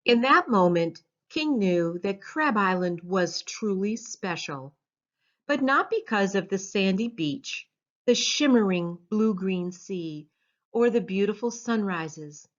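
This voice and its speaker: Slow-paced woman narrating a children's story. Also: mid-range voice, lively intonation. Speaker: author reading a storybook. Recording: dull lo-fi sound.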